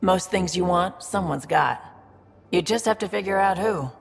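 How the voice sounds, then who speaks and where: A streetwise female smuggler in a space video game. She is low and gravelly, speaking with wry, sly confidence. The room rings with reverb. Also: moderate pace. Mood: sly, confident. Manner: wry, sly, self-assured. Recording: reverberant room with faint background noise.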